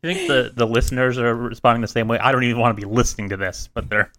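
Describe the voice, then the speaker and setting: Deadpan man co-hosting a podcast. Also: mid-range voice, fast talker. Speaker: podcast co-host. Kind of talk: casual podcast banter.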